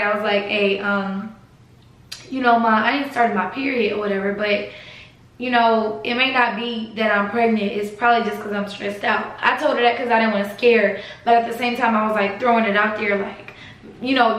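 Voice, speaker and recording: A woman's voice: on the high side, fairly bright tone, heavy reverb, rough recording. Sleepy young woman telling a story. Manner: chatty, confiding, addresses viewers as y'all. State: sleepy late-night voice. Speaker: vlogger. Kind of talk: casual storytime.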